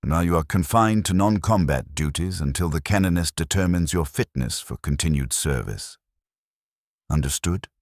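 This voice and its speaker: A man's voice: low voice, normal speed, no echo, studio quality. A man voicing a cold, rigid female sister superior in a science fiction story, stern, formal and commanding.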